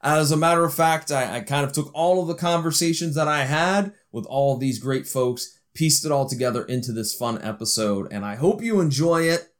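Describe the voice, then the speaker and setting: A man's voice: on the high side, normal speed, hardly any pauses. A man hosting a podcast, talking in an upbeat, chatty, joking way. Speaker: podcast host. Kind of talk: casual podcast chat. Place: busy indoor expo hall.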